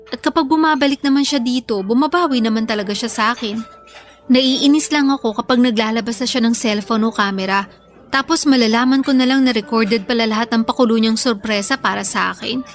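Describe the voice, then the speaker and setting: A woman's voice: fairly high pitch, a little fast, faint music behind, lightly acted. A woman with a Filipino accent playing a frustrated, doubtful young working girlfriend in a radio drama, sighing and sulky.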